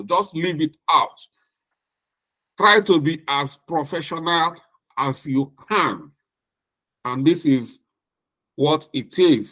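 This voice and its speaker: Slow-speaking Nigerian man lecturing. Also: high voice, a bit bright. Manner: slow, deliberate, with emphatic repetition. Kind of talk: recorded lecture.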